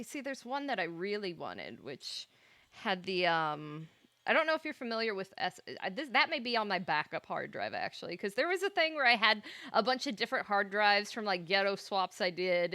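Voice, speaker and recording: A woman's voice: medium pitch, pushed and bright, clean tone, no echo. A woman hosting a livestream, speaking in a casual, rambling way as if thinking aloud. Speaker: livestream host, VTuber. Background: background music.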